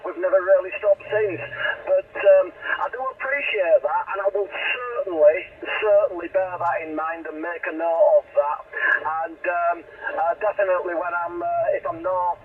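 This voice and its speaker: An older man talks over CB radio in a slightly high voice. He is courteous and effusive, full of operator jargon. The signal is tinny and distant, with some noise. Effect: CB radio transmission. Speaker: amateur radio operator and driver on the road.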